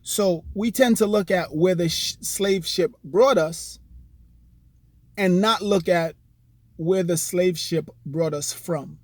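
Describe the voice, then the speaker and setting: A man's voice: high-pitched, slow, dry recording. A man talking straight to his viewers in an earnest, persuasive way, often saying "you know" and restarting his sentences. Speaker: vlogger. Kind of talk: direct-to-camera commentary.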